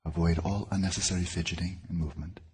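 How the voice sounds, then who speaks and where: A man leading a guided meditation as a teacher, speaking in deliberate, measured phrases with pauses. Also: sits low, steady tone, a little mellow, husky.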